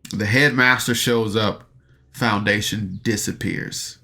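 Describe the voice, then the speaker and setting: A man's voice: on the low side, fairly even intonation, slow, gravelly, sharp tone. A man hosting a review podcast, casually recapping an audio drama in an informal, rambling way.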